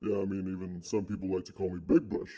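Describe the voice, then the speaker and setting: Man playing a deep-voiced flannel guy, blunt, mocking, mellow dark tone, comedy sketch. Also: level tone, quick pace, lo-fi sound. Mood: deadpan. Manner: blunt, mocking, easily offended.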